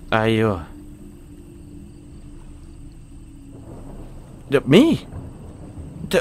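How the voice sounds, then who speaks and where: A man's voice: mid-range voice, slight background noise. A man with a British accent playing a cocky, foul-mouthed, stammering Dullahan social media wannabe in a comedic audio roleplay. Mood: nervous, backpedalling. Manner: stammering, sweary, boastful.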